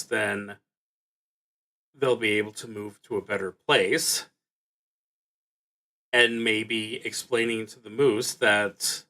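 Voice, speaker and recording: A man streaming a solo tabletop roleplaying game, musing aloud in a halting way and reading out prompts as he plays. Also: fairly low pitch, sharp tone. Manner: halting, musing, pauses mid-sentence.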